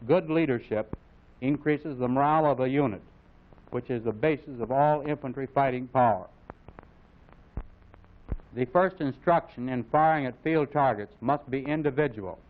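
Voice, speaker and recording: An American man reading scripted military instructional narration in a formal, authoritative, measured way, as on a mid-century training film. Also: mid-range voice. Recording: phone-like vintage film soundtrack, narrow band.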